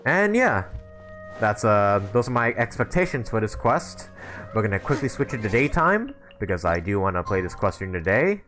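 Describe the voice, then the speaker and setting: A man's voice: fairly low pitch, sing-song, moderate pace, a bit bright. A man streaming a video game live, chatting casually and often rephrasing himself as he comments. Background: game music.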